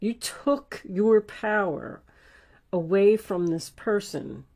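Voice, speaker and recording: A woman's voice: medium pitch, takes it slowly, darkish tone. A woman reading tarot cards informally for the viewer, talking in a conversational, emphatic and reassuring way. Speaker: tarot reader, host of an online reading.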